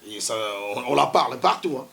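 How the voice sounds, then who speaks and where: A man's voice: mid-range voice, animated, a little fast, vocal fry, a bit bright. A man, a studio guest arguing his point on a TV talk show, insisting and repeating himself to keep the floor. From Democratic Republic of the Congo. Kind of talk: heated debate on a TV talk show.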